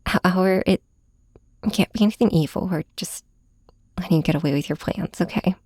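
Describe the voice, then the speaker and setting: A woman playing a weary, heartbroken hero confiding in her nemesis, hesitant and soft-spoken in an intimate ASMR audio drama. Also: on the low side, brisk, no room sound, studio quality, lightly acted. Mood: resigned, cautious. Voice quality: breathy, whispery.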